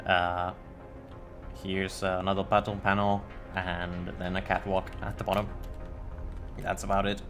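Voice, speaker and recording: Slow, halting Finnish-accented male streamer. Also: sits low, completely flat delivery, over music. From Finland. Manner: halting, stumbles over words. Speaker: game streamer. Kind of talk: casual live-stream chat while building.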